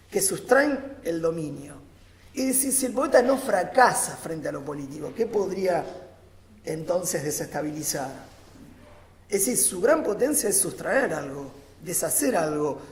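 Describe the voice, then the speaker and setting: A man giving an improvised philosophical talk on a panel, phrasing deliberately and emphatically in short bursts with pauses. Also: sits high. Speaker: panel speaker, academic. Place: large reverberant conference room. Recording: echoey hall with long reverb.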